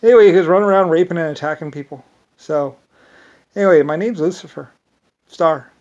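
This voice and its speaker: A man with an Irish accent talking in a rambling monologue, halting often with restarts and fillers. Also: fairly high pitch, a little mellow, dull audio. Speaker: self-described inventor.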